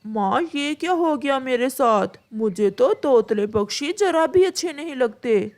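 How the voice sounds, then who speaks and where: A woman's voice: high-pitched, animated, unhurried, darkish tone. A woman voicing a fretful young male pigeon husband in a children's cartoon, whiny and complaining. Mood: dismayed. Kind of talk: Hindi children's cartoon voice acting.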